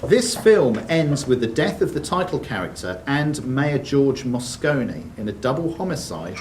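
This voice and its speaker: Male quiz master, animated intonation, question-reading delivery; distant, echoey room recording. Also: medium pitch, a little slow. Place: indoors in a club room, mic at a distance. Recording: roomy hall, distant mic, faint noise.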